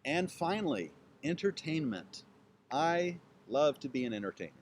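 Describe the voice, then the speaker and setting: A chatty man introduces himself to camera from outdoors, his pitch swinging up and down as he talks. He has a playful, joking way of speaking and spells out words slowly, one letter at a time. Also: big pitch swings, slight background noise. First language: English. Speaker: YouTube channel host. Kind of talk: casual self-introduction to camera. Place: outdoors with trees behind.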